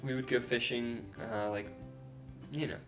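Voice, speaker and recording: A man's voice: mid-range voice, fairly even intonation, unhurried, soft background music. A man in his twenties co-hosting a casual podcast at home, rambling with lots of ums and self-corrections. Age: 20s. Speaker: podcast co-host. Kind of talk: casual chat. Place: house, indoors.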